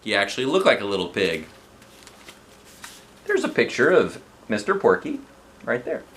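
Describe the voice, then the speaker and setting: Animated man reading a children's book aloud. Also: mid-range voice, normal speed, a little hiss or hum. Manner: expressive, acts out the story. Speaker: dad reading books aloud.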